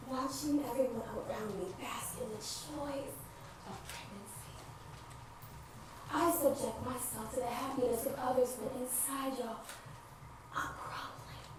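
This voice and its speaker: A woman playing an anguished married woman grieving her infertility in a raw, dramatic stage monologue. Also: high-pitched. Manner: dramatic, slow pauses, emotionally raw. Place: indoor stage theatre. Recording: echoey stage hall, distant mic, faint noise.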